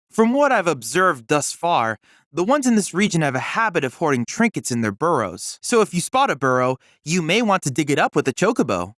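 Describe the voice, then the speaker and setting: A man's voice: a little high, fairly bright tone, no echo, studio quality. A young man playing an earnest, scholarly android boy research assistant in a video game, speaking with formal, precise, courteous diction. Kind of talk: scripted video game dialogue.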